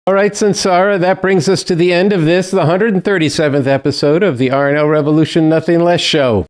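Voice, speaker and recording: A man's voice: fairly high pitch, fairly even intonation, brisk. A man hosting a political talk show, speaking as the host to guests and viewers.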